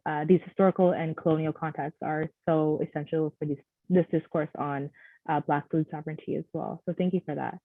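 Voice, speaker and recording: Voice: mid-range voice, no room sound. Moderator on a tinny line. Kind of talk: panel moderation. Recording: tinny, dry phone-like sound.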